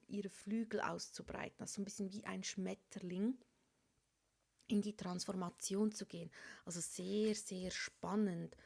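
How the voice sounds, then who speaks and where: A woman reading tarot cards, her voice soft and often sinking to a whisper as she turns each card. She is speaking through a cold, at an ordinary pitch for a woman. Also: vocal fry. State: has a cold. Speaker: tarot reader. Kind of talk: tarot reading for a star sign.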